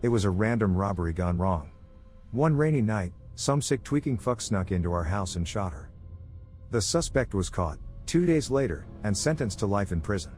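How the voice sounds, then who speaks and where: A man's voice: lowish voice, expressive, normal speed, a little mellow, faint music behind. A man reading a scripted first-person horror story as its narrator.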